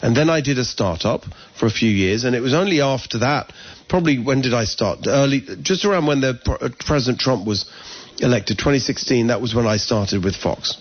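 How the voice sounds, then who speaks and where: A man's voice: mid-range voice, husky, dull audio. A middle-aged man with an English accent, speaking earnestly in an interview and often restarting his sentences. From England. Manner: earnest, talks in quick restarts and self-corrections. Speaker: political candidate guest. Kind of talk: conversational interview.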